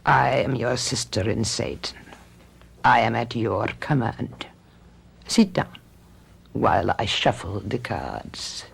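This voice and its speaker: A solemn satanic card reader in a radio drama. The voice is deep and full of gravel. She speaks portentously, as if chanting a ritual, and expects to be obeyed. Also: expressive, slow, long pauses, heavily acted. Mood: solemn, ominous. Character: solemn, commanding female satanic tarot reader.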